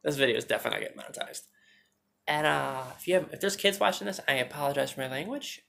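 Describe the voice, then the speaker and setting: A woman vlogging on her phone at home, chatting fast and joking with some swearing, her naturally deep voice held higher. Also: lively intonation, vocal fry, fairly bright tone. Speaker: YouTuber. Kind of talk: casual storytelling vlog. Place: indoors at home, TV behind her.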